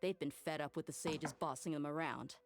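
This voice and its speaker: A tough, good-hearted mercenary in an anime game. The voice is notably low for a woman, with blunt, casual phrasing and an easy swagger. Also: steady tone, fairly quick. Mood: confident.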